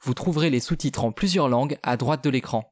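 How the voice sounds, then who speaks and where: A man hosting a podcast, reading clear scripted announcements and counting down to the start. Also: mid-range voice, brisk, a little mellow, no room sound, studio quality. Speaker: podcast host.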